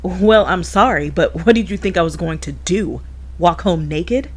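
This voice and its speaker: A woman's voice: on the low side, moderate pace, close to an everyday voice. A woman playing a vain young female lycan, snotty and dismissive, in a comedy-horror audio drama. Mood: sullen. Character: vain, haughty young female lycan vixen, bratty and sullen.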